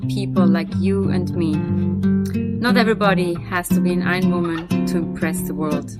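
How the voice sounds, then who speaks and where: A woman's voice: on the low side, fairly even intonation, moderate pace, over loud music. A woman hosting a podcast, introducing guests and asking interview questions.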